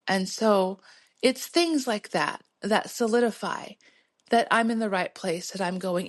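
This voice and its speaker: A middle-aged woman hosting her own podcast, talking in a soothing, encouraging way and laughing often as she urges listeners to keep going. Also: fairly high pitch. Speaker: podcast host. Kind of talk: motivational solo podcast talk.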